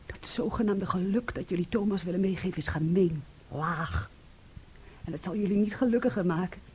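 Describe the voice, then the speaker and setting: An actress playing a bitter, defiant young daughter in a Dutch radio play, with an intense, cutting and dramatic delivery. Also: female voice, lowish voice, moderate pace, a little mellow, slight background noise, lightly acted. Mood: bitter accusing. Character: bitter, defiant grown daughter, fiercely accusing and pleading.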